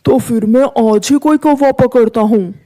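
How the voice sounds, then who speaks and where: Woman playing a male farmer, cartoon, mellow dark timbre, fairly high for the role, stern, curt. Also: measured pace, lightly acted. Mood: determined. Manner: curt, commanding.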